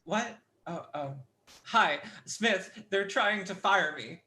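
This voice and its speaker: A woman's voice: mid-range voice, lively intonation, takes it slowly, pushed and bright, a little hiss or hum. A woman playing a desperate, self-absorbed teacher in a play reading, urgent and insistent, stumbling over words. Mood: urgent, flustered.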